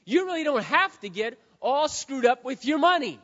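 Man, pastor, sermon, hoarse, high voice, quiet pleading to loud shouting, long hall echo. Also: big pitch swings, normal speed. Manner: swings from quiet and earnest to loud impassioned shouting. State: hoarse, says he has lost his voice. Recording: dull sound with long hall reverb.

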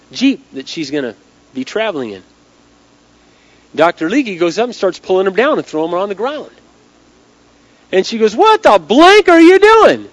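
A man who is losing his voice, telling a story dramatically and conversationally as an inspirational speaker. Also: high-pitched. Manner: dramatic, conversational, acting out dialogue. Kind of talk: storytelling talk to a live audience. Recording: dull lo-fi talk recording.

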